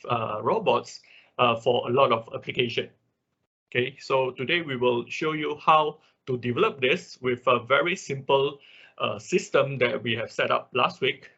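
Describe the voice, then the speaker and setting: A man giving an informal online class as an instructor, speaking haltingly with a husky voice and frequent repeats. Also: mid-range voice, bright, forceful tone. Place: online video call. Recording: dull lo-fi online meeting audio.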